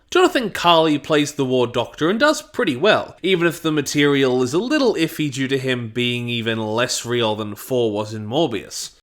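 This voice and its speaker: A man's voice: fairly high pitch, sharp tone. A man narrating a scripted review, stressing his phrases emphatically.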